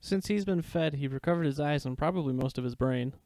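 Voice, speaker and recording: A man's voice: a little high, a little fast, dark tone, no echo, voice pushed up, close to an everyday voice. A man voicing a wary, deferential male prison warden in a fan fiction story reading. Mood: dutiful reporting.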